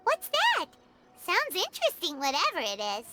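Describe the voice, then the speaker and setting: Squeaky, excitable little fairy girl. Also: moderate pace, loud music behind the voice, exaggerated voice. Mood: puzzled, curious. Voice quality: squeaky, childish. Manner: exclamatory, inquisitive, refers to self by name. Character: small, excitable, childlike female fairy companion. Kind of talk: animated video game voice acting.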